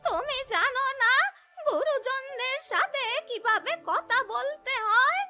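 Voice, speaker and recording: A woman voicing an indignant, scheming elderly village aunt in a cartoon, with a loud, scolding, drawn-out delivery. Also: extremely high pitch, lively intonation, over loud music, heavily acted. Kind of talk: cartoon voice acting.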